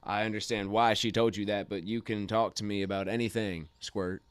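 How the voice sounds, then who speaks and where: A man's voice: fairly low pitch, little pitch movement, brisk, clear, resonant tone, dry recording, close to an everyday voice. A man acting in a radio play as a young, teasing but guarded uncle. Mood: guarded, candid. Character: young Texan uncle, teasing, guarded former bull rider.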